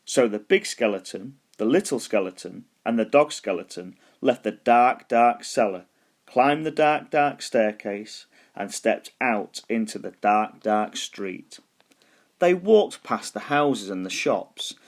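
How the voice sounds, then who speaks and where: Animated man reading a children's story. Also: fairly low pitch. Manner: deliberate, expressive, drawn-out emphasis. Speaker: storybook reader. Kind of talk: scripted children's story narration.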